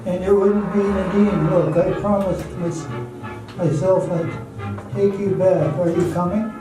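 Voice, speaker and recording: An amateur actor playing a loyal, earnest friend who acts as peacemaker in a radio drama. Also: male voice, high voice, fairly even intonation, a little slow. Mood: persistent, resigned. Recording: very echoey hall, distant mic, music behind.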